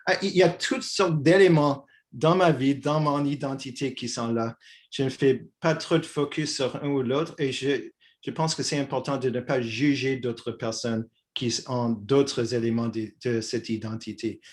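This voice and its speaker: Man in his 60s, halting speech, restarts, conversational guest. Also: medium pitch, fairly even intonation. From Quebec. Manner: halting, many restarts and fillers. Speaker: guest, peace activist. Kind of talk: conversational dialogue.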